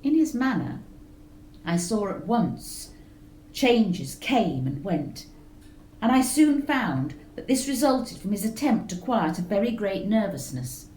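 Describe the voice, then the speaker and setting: A woman reading a story aloud in a slow, measured, expressive way. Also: medium pitch, slight background noise. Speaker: reader. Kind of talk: storybook narration. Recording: roomy echo with faint music.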